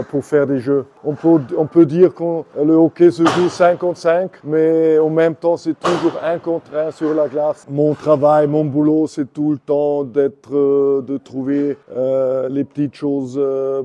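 Flat, dark-voiced coach. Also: male voice, on the high side, a little hiss or hum. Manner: slow, searching for words, non-native phrasing. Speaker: head coach. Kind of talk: interview answers.

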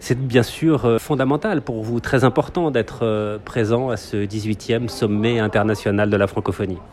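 A man's voice: on the low side, steady tone, moderate pace, a little mellow. A man working as a reporter, putting interview questions and linking between guests in a journalistic style. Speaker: radio or TV reporter.

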